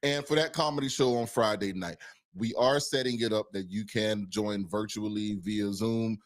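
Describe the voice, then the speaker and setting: A man's voice: fairly low pitch, normal speed. Easygoing man co-hosting a podcast. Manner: loose, repeats and restarts phrases. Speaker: podcast co-host. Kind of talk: casual podcast chat.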